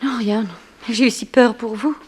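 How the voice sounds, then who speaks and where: A woman's voice: fairly high pitch, fairly even intonation, unhurried, a little hiss or hum, lightly acted. An actress playing a frightened, devoted young woman in a 1960s French radio crime serial, breathless and anxious.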